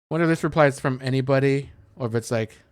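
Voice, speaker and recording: A man with a mid-pitched voice hosts a casual game stream. He thinks out loud in an informal, rambling way, often searching for the right word. The sound is dry, with almost no room echo. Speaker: video game streamer and host. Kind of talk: casual livestream commentary, thinking aloud and reading posts out.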